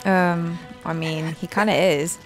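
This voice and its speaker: A woman's voice: on the low side, steady tone, unhurried. A woman co-hosting a reaction video with casual, conversational commentary. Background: loud game soundtrack music.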